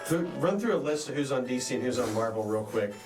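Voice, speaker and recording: A man's voice: mid-range voice, expressive, quick pace, over music. Stammering young man, echoey and far. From Southern Oklahoma. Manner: rambling, stammering restarts. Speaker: band member. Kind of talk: casual interview.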